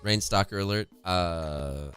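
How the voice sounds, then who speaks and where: A young man with a worn-out, hoarse voice casting an esports match on a home stream, chatty and reading chat aloud, close to a studio mic. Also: low-pitched, slow, a bit bright, faint music behind. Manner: chatty, reads chat aloud, laughs often. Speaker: esports caster. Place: home streaming room, indoors. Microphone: studio condenser mic close in front, headphones.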